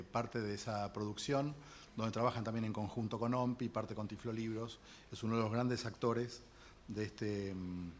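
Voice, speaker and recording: An Argentine man opens a formal panel and introduces the speakers one by one. He talks at a measured pace, with pauses and hesitant fillers. His voice sits at an ordinary middle pitch, recorded on a microphone in a library conference hall. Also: a little hiss or hum. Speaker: panel moderator. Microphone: handheld microphone.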